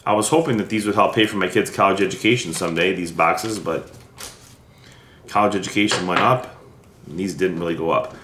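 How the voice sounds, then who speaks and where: A man's voice: deep voice, fairly quick, a bit bright. A middle-aged American man giving casual running commentary as he sorts through collectibles at a table, with playful asides. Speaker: vlogger opening vintage sports card packs. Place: indoors at a wooden table in a room. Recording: roomy echo, background hiss, handling clicks.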